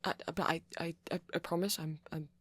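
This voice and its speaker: A woman voicing a shy, anxious, apologetic young-looking male vampire in an ASMR roleplay, speaking softly and hesitantly with nervous stammers and half-whispers. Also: on the low side, fairly even intonation, a little fast, no room sound. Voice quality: soft, breathy, often half-whispered. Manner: hesitant, stammering, self-conscious. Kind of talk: ASMR roleplay monologue to a listener.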